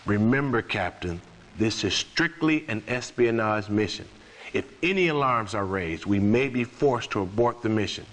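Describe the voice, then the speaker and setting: A man acting a sober, authoritative resistance mission briefer in a game cutscene, with measured military formality. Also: on the low side, expressive, a bit bright, rough recording. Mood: businesslike.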